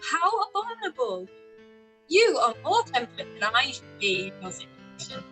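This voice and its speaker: A woman plays an outspoken young gentlewoman in a period radio drama. Her voice is high and bright with very animated intonation. She is candid and expressive. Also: moderate pace, soft background music, rough recording. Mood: indignant. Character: spirited, outspoken young gentlewoman, quick to judge. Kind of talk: live-read period radio play acting.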